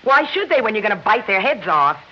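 A woman's voice: medium pitch, sing-song, a little fast. A woman acting an exasperated working-class American wife in an old radio drama, with an emotional delivery. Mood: scolding. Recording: dull, noisy old radio recording.

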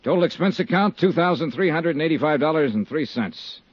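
An actor playing a tough, terse, wisecracking private investigator, narrating and acting in dry 1950s radio drama style. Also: male voice, fairly high pitch, a little fast, husky, no echo. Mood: brisk, matter-of-fact. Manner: terse, dry, deadpan delivery. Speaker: lead actor and narrator of detective serial. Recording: dull vintage 1950s radio recording with music.

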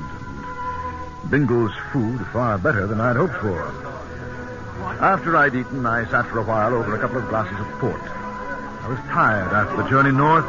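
An actor playing a suspicious, stern, quick-tempered British army colonel in an old-time radio drama. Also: male voice, medium pitch, natural voice. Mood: brooding narration. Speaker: actor and narrator. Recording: muffled old radio broadcast, faint hiss.

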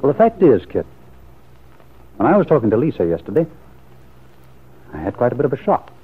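A man's voice: mid-range voice, sing-song, a little fast, breathy edge. An actor playing a suspicious, brooding man in a classic radio soap opera, earnest and probing, with hesitant phrasing. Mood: wounded. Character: suspicious, brooding lover pressing for the truth. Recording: muffled lo-fi vintage radio recording.

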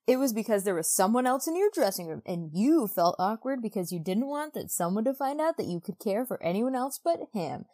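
A woman's voice: on the high side, big pitch swings, a little fast, few pauses, warm tone. A woman co-hosting a podcast, recapping a book casually and acting out dialogue in informal modern slang. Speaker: podcast co-host.

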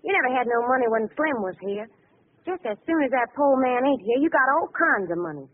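An actress in a classic radio drama playing an outspoken housemaid, folksy and reproachful. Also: female voice, sits high, expressive, brisk. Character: outspoken, nosy housemaid, scolding her mistress. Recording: thin, tinny old radio recording.

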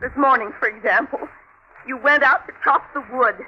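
An actress playing a scornful, domineering wife who nags emphatically in old-time radio drama style. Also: female voice, moderate pace, breathy edge. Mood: furious, scornful. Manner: shrill, nagging, emphatic delivery. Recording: dull, noisy vintage radio recording.

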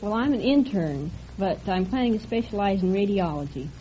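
A woman's voice: medium pitch, animated, fairly quick, dark tone. A woman in her twenties from California answering an interviewer conversationally as a contestant on an old radio quiz show. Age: 20s. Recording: muffled, faintly noisy vintage radio broadcast.

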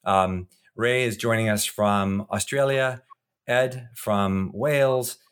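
A man co-hosting an interview podcast, introducing and questioning guests in an informal, somewhat halting and wordy way. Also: lowish voice, a bit bright, clear, resonant tone.

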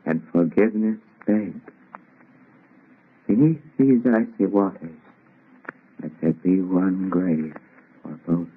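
An actor playing a dying, remorseful scientist with a weak, fading, husky voice in an old radio drama. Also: male voice, sits low, slow, long pauses, exaggerated voice. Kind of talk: 1930s radio drama acting. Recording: tinny, narrow old radio recording.

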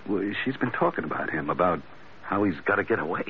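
An actor playing a gruff, grumbling sailor sidekick in an old radio drama, blunt and colloquial. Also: male voice, sits low, lively intonation, fast talker, a little hiss or hum. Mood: curious. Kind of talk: 1940s-50s radio drama acting. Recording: tinny, narrow-band old radio recording.

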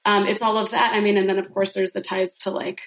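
A woman's voice: medium pitch, flat delivery, fast, fairly bright tone. Woman guest on a podcast, talks it through with lots of ums and right?, roomy echoey sound. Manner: explanatory, laughs often, many fillers like "um" and "right?". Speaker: podcast guest, sex coach. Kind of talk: casual interview talk. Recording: roomy echo.

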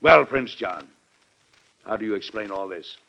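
An actor playing a dignified, worried American president and father in an old-time radio drama, formal and measured with some hesitation. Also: on the low side, expressive, gravelly, bright, forceful tone, full character voice. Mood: stern, exasperated. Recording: dull, band-limited old radio recording.

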